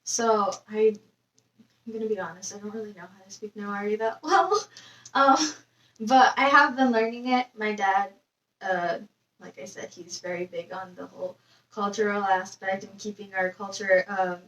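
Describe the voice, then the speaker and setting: A woman's voice: medium pitch, moderate pace, a bit bright, lo-fi sound. A young woman from Nepal answering interview questions in a hesitant, casual way with many fillers. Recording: echoey room, distant mic, faint noise.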